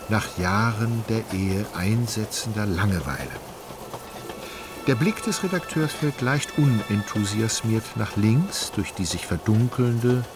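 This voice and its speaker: A man reading scripted literary narration for a radio play in a measured, articulate storytelling manner. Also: medium pitch. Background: music.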